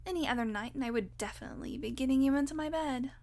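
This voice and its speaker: High-pitched, sardonic vampire woman, acted by a woman. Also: quick pace, a little mellow. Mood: tired, irritable. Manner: sardonic, foul-mouthed, teasing with pet names. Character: hungry, irritable, sarcastic female vampire on a road trip. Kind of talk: audio roleplay acting, one-sided dialogue.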